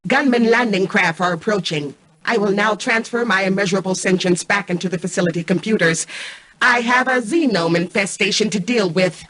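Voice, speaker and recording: A woman's voice: mid-range voice, a bit bright, close to an everyday voice. Woman as a cold, echoey female mainframe AI. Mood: cold, commanding. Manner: formal, commanding, measured robotic delivery. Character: cold, imperious female mainframe AI, menacing and calculating. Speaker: game antagonist turned ally. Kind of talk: scripted video game voice acting. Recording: distant, echoey processed game audio.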